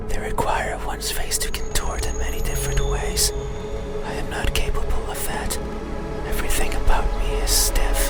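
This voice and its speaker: A man playing a lonely, melancholy monster in a horror visual novel, whispering in a breathy voice with halting, formal, brooding phrasing. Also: close to an everyday voice. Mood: melancholy, detached. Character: lonely, melancholy male monster stalking a sleeper at night. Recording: whisper under loud music.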